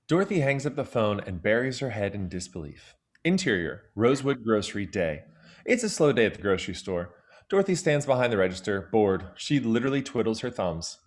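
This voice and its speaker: A man's voice: lowish voice, sing-song, fairly quick. A man reading scripted stage directions as the narrator of an audio drama.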